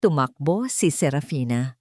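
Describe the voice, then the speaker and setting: A woman's voice: low voice, lively intonation, moderate pace, mellow, no echo, clean studio sound. A woman reading a scripted romance drama story as its narrator, in a dramatic storytelling style.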